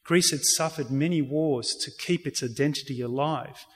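A man with an Australian accent giving a public talk and answering questions in a halting, earnest, explanatory way. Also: fairly high pitch. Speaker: religious speaker giving a community talk. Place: reverberant indoor hall. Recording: echoey hall with long reverb.

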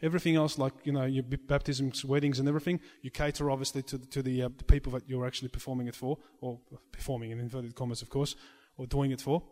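A man's voice: mid-range voice, level tone, fast, few pauses, darkish tone. A man who chants in church, speaking in a question-and-answer discussion, earnest and conciliatory, often restarting his sentences. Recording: long reverb of a hall.

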